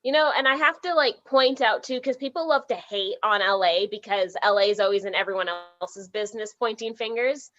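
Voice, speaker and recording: A Canadian woman, a filmmaker guest on a podcast call, talking in an animated way, laughing often and saying "like" a lot while hot and sweating. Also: mid-range voice, brisk, hardly any pauses, muffled recording, soft background music. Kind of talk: casual remote interview chat.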